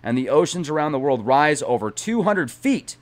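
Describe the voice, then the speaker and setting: A man's voice: fairly high pitch, sing-song, normal speed. A man streaming as he reads a book aloud dramatically, breaking off now and then for chatty digressions to his viewers. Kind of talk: reading a book aloud with casual asides.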